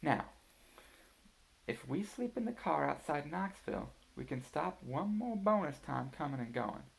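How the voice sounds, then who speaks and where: A man voicing a practical, organized mother who calmly lays out a family road trip. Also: fairly high pitch, measured pace, vocal fry, voice pushed up, exaggerated voice. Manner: steady, matter-of-fact planning tone.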